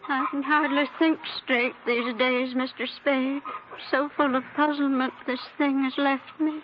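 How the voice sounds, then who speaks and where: A woman's voice: high-pitched, level tone, a little slow. A woman playing a timid, folksy, wistful little country wife in a 1940s radio drama. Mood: wistful, troubled. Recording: muffled old radio recording, loud noise.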